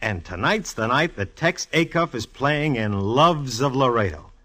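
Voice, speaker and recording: A medium-pitched male homicide inspector in 1940s radio style. He banters in slang, deadpan and teasing, like a smart cop at ease in his job. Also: unhurried. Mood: playful. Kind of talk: 1940s radio detective acting.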